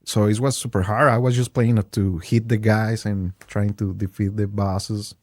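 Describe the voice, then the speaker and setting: Mexican man, halting English, low voice. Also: steady tone, no echo. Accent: Mexican Spanish. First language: Spanish. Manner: halting, searching for English words. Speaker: guest, game store owner. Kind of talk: casual interview chat.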